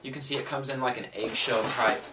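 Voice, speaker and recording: A man's voice: mid-range voice, fairly even intonation, fairly quick. A man giving a casual unboxing review, thinking aloud in a conversational way. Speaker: product reviewer. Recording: distant mic, faint noise, clicks.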